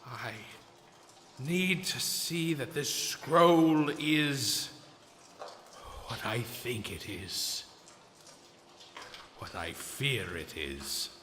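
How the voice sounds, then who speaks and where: A man's voice: mid-range voice, lively intonation, very slow, long pauses, fairly bright tone. An actor playing a haunted, panicked scholar and father in a radio play, stammering and gasping. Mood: dread. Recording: long reverberant hall sound.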